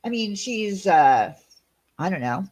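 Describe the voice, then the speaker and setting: A woman's voice: mid-range voice, sing-song, takes it slowly, a little mellow. A woman with a Long Island New York accent, hosting a casual livestream and reading out chat, talking in an indignant, rambling way with many fillers.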